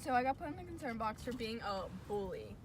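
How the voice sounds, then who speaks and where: A young American woman with a fairly high voice tells stories to friends in an informal, emphatic way, often repeating herself. There is clear background noise like a vehicle. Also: lively intonation, fast talker. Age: teens or young adult. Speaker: vlog co-host. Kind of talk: casual chat and storytelling with friends.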